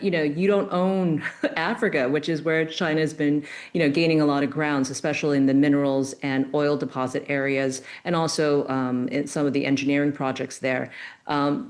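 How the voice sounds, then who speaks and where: A woman's voice: deep voice, darkish tone. A woman giving upbeat, chatty news commentary, with frequent fillers and restarts. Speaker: political commentator.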